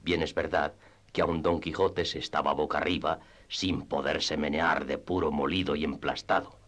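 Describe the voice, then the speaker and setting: Low-voiced male storytelling narrator. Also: fairly even intonation, normal speed, fairly bright tone. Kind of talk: scripted literary narration for radio theatre.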